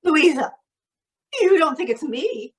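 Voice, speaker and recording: Woman playing a high-voiced, anxious carnival girl. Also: expressive, slow pace. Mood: distraught, frightened. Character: young female carnival snake handler, anxious and emotional. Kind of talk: old-time radio drama acting.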